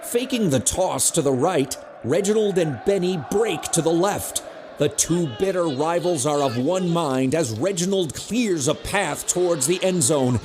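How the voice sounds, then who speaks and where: A man delivers scripted dramatic narration. His voice is pitched a bit high for a man. Clearly audible ambient noise runs behind him. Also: animated, measured pace.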